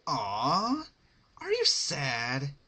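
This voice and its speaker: A man's voice: fairly high pitch, wildly swooping pitch, very slow, pushed and bright, breathy edge, pitched above the performer's own voice, heavily acted. A man voicing a bubbly, childish, sadistic female cartoon pony with a sing-song, taunting manner. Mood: mock sympathy.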